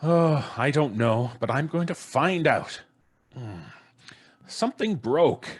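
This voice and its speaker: A man's voice: fairly high pitch, lively intonation, slow pace, husky, exaggerated voice. An actor playing a grumbling, stammering, sceptical father in an old-time radio comedy. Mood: puzzled, irritated. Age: middle-aged. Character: blustering, practical, sceptical husband and father. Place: small home studio on a video call. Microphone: close studio microphone.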